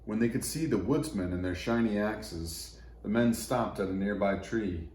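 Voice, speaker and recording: A man reading a children's story aloud in an expressive storytelling manner. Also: lowish voice, normal speed. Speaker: storybook reader for church children. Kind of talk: scripted children's story narration. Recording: echoey room, faint background noise.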